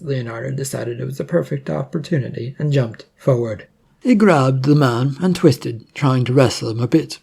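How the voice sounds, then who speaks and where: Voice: mid-range voice, gravelly, mellow, husky. A narrator reading a third-person adventure story as scripted prose.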